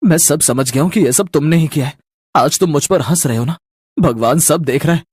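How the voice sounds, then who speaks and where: A man plays a poor, hardworking young noodle seller in a cartoon tale. The voice is a little high for a man and recorded very dry. He speaks earnestly, with a plaintive emphasis on his words. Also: fairly quick, darkish tone, slightly rough, no echo. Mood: grieving, accusing. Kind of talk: Hindi cartoon story dubbing.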